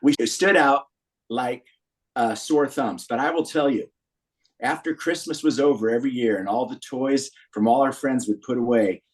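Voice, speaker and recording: An American man in his sixties speaks as a guest on a podcast. His voice sits at an average pitch, and he recounts personal history in an earnest, anecdotal manner. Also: normal speed, rough recording. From Berkeley, California, USA. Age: 60s. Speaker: podcast guest, founder of a men's community group. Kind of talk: personal storytelling in a podcast interview.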